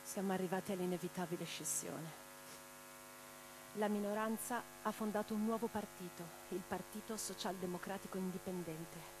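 A woman playing an imprisoned, defiant revolutionary in a radio theatre monologue, reading her letters with theatrical, deliberate phrasing. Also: medium pitch, fairly even intonation, close to an everyday voice. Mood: sombre, tender. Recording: clearly noisy track with mains hum.

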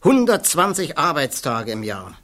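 An actor playing an irreverent, blunt physics professor giving testimony in a radio play, wry and outspoken. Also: male voice, fairly high pitch, wildly swooping pitch, measured pace, a bit bright, full character voice. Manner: wry, outspoken, swears casually.

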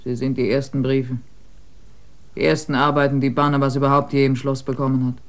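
An actor in a German radio drama playing a devoted member of a poor village family, earnestly explaining. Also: male voice, medium pitch, flat delivery, a little fast, close to an everyday voice.

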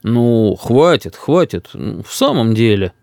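A mild old grandfather from a storybook as a male narrator plays him. He is impassive and placating, with a middling pitch and a noncommittal air. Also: big pitch swings, unhurried, vocal fry, a little mellow, no echo, clean studio sound.

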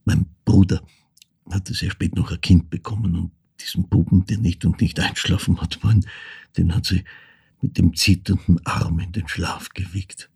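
An actor playing an elderly man in a radio drama monologue, recalling his dead mother in halting, hushed, reflective phrases with a husky, gravelly voice. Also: low-pitched, steady tone, heavily acted. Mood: pained, subdued. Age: older.

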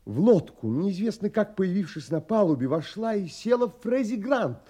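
An earnest, brooding romantic adventurer in an audio drama. His husky, mellow voice takes its time, pausing dramatically as he narrates and speaks. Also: high-pitched, big pitch swings. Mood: confessional, anguished. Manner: dramatic, measured, with pauses. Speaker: actor and narrator.

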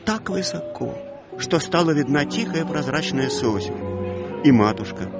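A man narrating a literary audio play with expressive storytelling. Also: on the high side, unhurried, loud music behind the voice.

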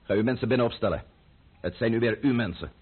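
An actor playing a scornful schemer in an old radio play, muttering sardonically in a husky, gravelly voice. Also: male voice, mid-range voice, quick pace, lightly acted. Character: scornful, muttering male schemer, contemptuous of nobles and commoners. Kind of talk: old radio drama acting. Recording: muffled narrow-band old radio recording.

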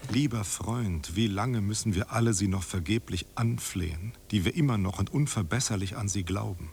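A man's voice: on the low side, moderate pace, gravelly, noisy background. A man in a German radio drama playing a refined, idealistic friend who pleads solemnly and formally, as if reading a letter aloud. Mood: imploring. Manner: solemn, imploring, rhetorical, formal.

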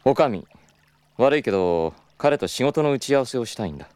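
A man playing a suave, wealthy young gentleman in an audio drama, speaking in a knowing, teasing and patronizing way. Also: medium pitch, lively intonation, brisk, slight background noise, lightly acted. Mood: assured.

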